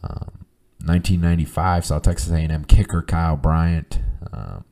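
Male podcast host with a hoarse flu voice and a dark, mellow tone that barely rises or falls; hesitant, filler-heavy, runs through stat lists. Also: sits low, steady tone. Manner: halting, many ums and uhs, reads off lists of stats. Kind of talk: casual solo podcast talk.

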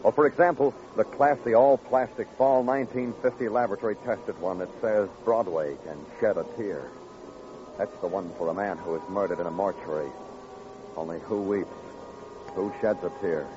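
A man's voice: fairly low pitch, moderate pace, noisy background, natural voice. A man playing a hardboiled, world-weary police detective and narrator in a 1950s radio crime drama, wry and brooding with clipped storytelling. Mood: cynical, brooding. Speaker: narrator and lead actor. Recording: muffled vintage radio recording with music.

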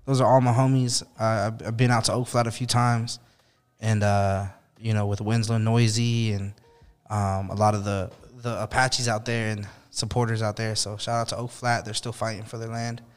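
A man talking as a podcast guest, relaxed and rambling, with lots of fillers and restarts. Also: on the low side, moderate pace, clean tone. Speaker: podcast guest, musician and activist. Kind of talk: casual podcast chat. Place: podcast studio in Los Angeles.

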